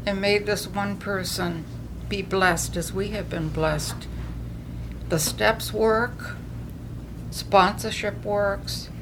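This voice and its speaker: A woman's voice: medium pitch, steady tone, slow, noisy background. An older woman with a New England accent gives earnest personal testimony at a recovery meeting, telling her story with frequent restarts.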